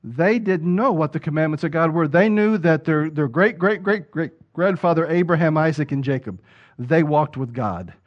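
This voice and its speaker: A man preaching a sermon, building from steady talk to loud, emphatic peaks. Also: fairly high pitch, moderate pace. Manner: rises to loud emphatic preaching peaks, acts out quoted voices. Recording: dull lo-fi sound.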